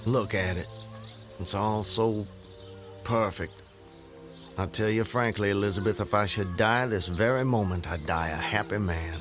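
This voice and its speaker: An actor playing a proud, fervent planter in a radio drama, formal and grandiloquent. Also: male voice, lowish voice, a little slow, over music, lightly acted. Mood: earnest, devoted. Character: proud, fervent Southern gentleman planter and husband.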